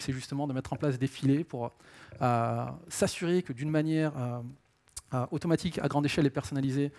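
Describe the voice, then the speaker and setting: A man's voice: medium pitch, a little mellow. A man giving a business conference presentation as a sales director, explaining step by step and mixing in English business jargon.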